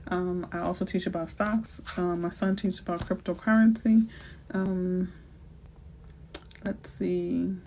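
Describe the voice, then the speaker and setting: Middle-aged woman, New Orleans accent, chatty live-stream host, relaxed slang, faint background hiss, casual phone mic. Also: lowish voice, slow pace. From New Orleans, Louisiana. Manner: chatty and playful, with slang and asides. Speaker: realtor hosting a live Q&A. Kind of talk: casual live-stream chat answering viewers.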